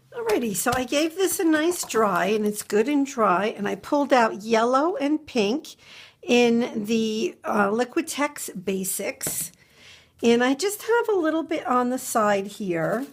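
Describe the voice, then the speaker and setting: A middle-aged woman talks slowly and easily as she crafts at her desk, thinking out loud and repeating little words to herself. Her voice carries a slight stuffiness from a cold, and her pitch is mid-range. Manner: chatty, musing aloud, repeats words to herself. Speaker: craft video host. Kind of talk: relaxed crafting tutorial narration. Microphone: overhead camera above a desk, hands only.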